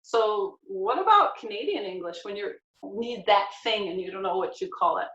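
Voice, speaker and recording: American woman, 40s, host, chatty, animated questions, roomy echo, muffled call audio. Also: medium pitch, normal speed. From United States. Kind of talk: casual video-call interview. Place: home room with bookshelf. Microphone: video call. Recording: echoey, dull video-call audio.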